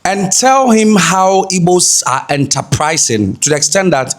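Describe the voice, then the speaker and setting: A man's voice: high-pitched, animated, measured pace, background noise. A man with a Ghanaian accent giving emphatic explanatory commentary as a host, repeating key phrases. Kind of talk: explanatory commentary on a news clip.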